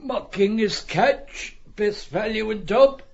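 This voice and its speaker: Man acting a high, crying street hawker. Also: slow, gravelly, dull audio, exaggerated voice. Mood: hawking, boastful. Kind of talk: dramatized audiobook acting.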